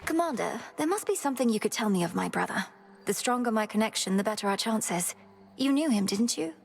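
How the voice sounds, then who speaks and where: A woman's voice: fairly high pitch, lively intonation, brisk, creaky, faint music behind. A woman voicing an earnest young witch princess who speaks formally and politely to her elders.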